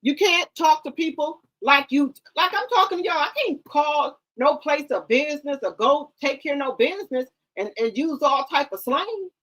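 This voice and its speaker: Blunt, lecturing high-voiced woman. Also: lively intonation, normal speed, few pauses, a bit bright, low-quality recording. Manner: blunt, lecturing, preachy with slang. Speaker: commentary host. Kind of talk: casual livestream commentary.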